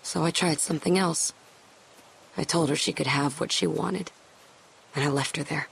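A woman's voice: sits low, fairly even intonation, moderate pace, creaky, slightly rough, heavily acted, poor audio. A woman playing a hardened, guarded young survivor in a video game drama, speaking somberly and bluntly. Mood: grim, regretful.

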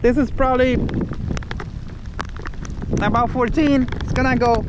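A man in his thirties vlogging from a kayak on open water, exclaiming loudly over each catch, recorded on an action camera. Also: very high-pitched, steady tone, measured pace, muffled recording. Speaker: fishing vlogger. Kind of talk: excited outdoor vlog narration. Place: kayak on open bay outdoors. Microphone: action camera mounted on kayak. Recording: wind noise on open water. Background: wind and water.

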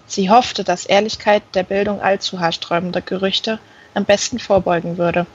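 A woman narrates a novel from a script. Her voice is husky and sits at a middle pitch. The recording sounds a little dull and lo-fi. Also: fairly even intonation, moderate pace. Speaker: audiobook reader. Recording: slightly dull, band-limited sound.

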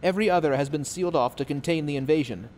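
A man playing a nervous, apologetic scientist in a video game, talking formally and wordily with halting asides. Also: a little high, darkish tone, natural voice. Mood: apologetic, explaining. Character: nervous, apologetic, talkative male scientist in a secret lab. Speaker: game character. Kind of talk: video game dialogue acting. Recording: long hall reverb, faint background noise.

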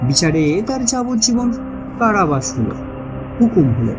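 Lady reading a mystery story, really animated and dramatic, music going behind her. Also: medium pitch, normal speed, muffled recording. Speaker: audio story narrator. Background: background music.

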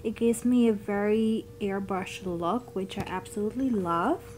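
A woman's voice: medium pitch, normal speed, darkish tone. A young woman with a childlike voice narrating a makeup tutorial in a chatty, upbeat way. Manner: chatty, upbeat product talk with ums. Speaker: beauty vlogger.

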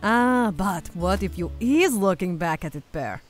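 A woman's voice: medium pitch, lively intonation, measured pace, close to an everyday voice. A woman voicing a big, beefy cartoon lady who talks in a theatrical, teasing way with broken, foreign-style grammar. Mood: teasing, dramatic. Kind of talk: cartoon dub voice acting. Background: background music.

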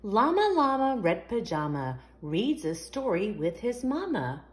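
Female storytime host for young kids, lively swooping delivery at an unhurried pace, sing-song read-aloud. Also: mid-range voice. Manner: sing-song, asks the young listeners questions. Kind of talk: expressive read-aloud of a picture book for young children.

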